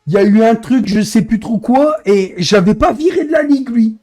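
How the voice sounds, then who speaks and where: A hoarse man who is losing his voice, grumbling in exasperation as he comments live on his racing game stream. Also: extremely high pitch, normal speed, mellow. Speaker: streamer and racing league head.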